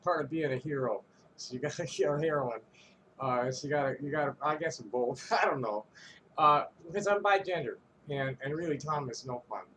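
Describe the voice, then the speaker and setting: A man's voice: a little high, slight background noise, poor audio. A man ranting to camera in a casual vlog, rambling and profane, stumbling over restarts and chuckling between phrases. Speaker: vlogger.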